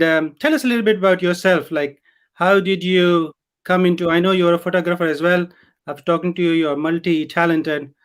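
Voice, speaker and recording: A man hosting a program, introducing a guest author in a slow, earnest, admiring way. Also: a little high, clean tone. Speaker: host.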